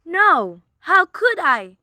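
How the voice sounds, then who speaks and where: Woman acting frantic, imperious high-voiced lady. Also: sing-song, drawn-out words, sharp tone, no echo, studio quality, full character voice. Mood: agitated, impatient. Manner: agitated, demanding, indignant, haughty. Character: frantic, imperious wealthy married woman reporting a murder plot. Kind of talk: radio play acting, suspense thriller.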